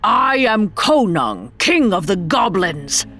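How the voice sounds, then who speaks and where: A woman's voice: mid-range voice, very animated, takes it slowly, fairly bright tone, soft background music. A woman voicing a pompous, portly old goblin king in a grand, commanding storybook manner. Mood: proud, grand. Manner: grand, authoritative, theatrical.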